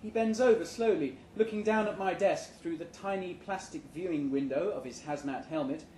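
A man reading a first-person short story aloud at a live event in a measured, dry manner. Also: on the high side, darkish tone. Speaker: story reader. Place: indoor live reading event before an audience. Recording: roomy live venue, background noise.

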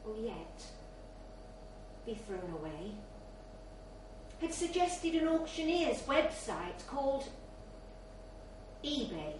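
A woman's voice: a little high, sing-song, takes it slowly, long pauses. Female storyteller, literary narration, mid-range voice, heavily reverberant venue, distant microphone. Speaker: reader at a live short story event. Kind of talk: scripted short story reading, literary narration. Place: bar, live reading event. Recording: very echoey, distant mic, faint noise.

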